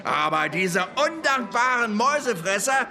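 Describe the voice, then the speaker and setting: A man's voice: high voice, very animated, moderate pace. An actor playing a boastful, coarse Wild West lawman telling war tales with crude swagger in a German radio play. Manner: crude, swaggering storytelling with cursing. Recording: music bed behind the voice.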